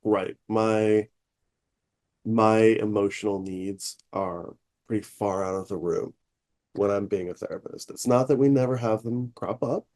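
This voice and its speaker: Man, fairly deep voice, therapist co-host, reflective, candid, casual talk, fillers. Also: steady tone, a little slow, a little mellow. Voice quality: relatively deep voice. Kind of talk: casual chat.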